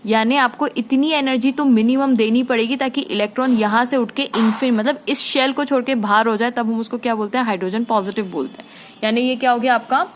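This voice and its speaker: A woman's voice: fairly high pitch, fairly even intonation, a little fast, slight background noise. A woman teacher explaining a physics problem step by step, often asking her students checking questions and mixing in English terms.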